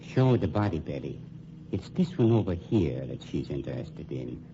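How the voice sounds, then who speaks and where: An actor playing a coolly menacing funeral home owner with silky, formal politeness in an old-time radio crime drama. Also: male voice, very deep voice, moderate pace, vocal fry, exaggerated voice. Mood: smooth, mocking. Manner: formal, silkily polite, deadpan. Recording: muffled, noisy old radio recording.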